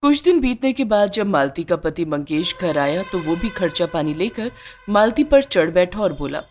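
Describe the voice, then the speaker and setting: A woman's voice: fairly low pitch, normal speed, faint music behind. Woman narrating a cartoon moral story. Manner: clear, expressive storytelling.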